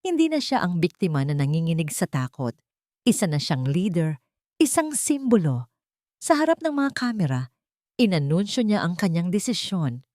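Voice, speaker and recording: A woman's voice: on the low side, big pitch swings, normal speed, a little mellow, no echo, studio quality. A woman narrator reading a scripted dramatic story.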